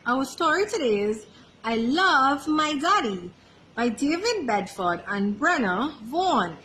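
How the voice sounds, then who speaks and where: A woman storyteller reading a children's picture book aloud in an expressive, animated way. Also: sits high, measured pace, slight background noise. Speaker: library storyteller.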